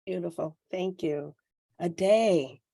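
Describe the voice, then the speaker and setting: A woman hosting a webinar panel, asking questions in an unhurried, informal, conversational way. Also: on the low side, gravelly, mellow, no room sound, studio quality. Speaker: webinar host and moderator.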